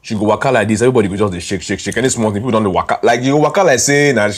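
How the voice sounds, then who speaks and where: A man's voice: lowish voice, racing, slightly rough. A Nigerian actor playing a worried young office worker who complains about his boss in film dialogue. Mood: worried complaining.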